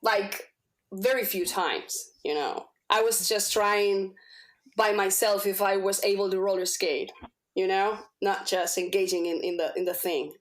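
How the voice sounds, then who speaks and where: A woman with Spanish as her first language speaks as a guest in a casual interview. She shares a personal testimonial in a medium-pitched voice. Her manner is earnest, and she often searches for words and repeats herself as she goes. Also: fairly even intonation, measured pace. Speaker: podcast guest.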